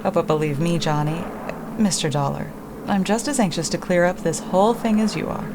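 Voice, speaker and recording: Widow, female actor: slightly low voice, earnest, overdone assurances, old radio drama, vehicle drone behind. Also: a little fast, noisy background, natural voice. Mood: earnest, gushing. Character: widow, earnest, gushing and ingratiating female suspect. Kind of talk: 1950s radio drama acting.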